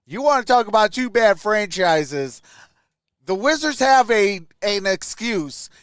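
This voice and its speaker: A man's voice: sits high, sing-song, unhurried, clean tone, dry recording, clean studio sound. A man hosting a sports livestream, chatting casually and commenting as he answers viewers. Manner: greets viewers by name, conversational.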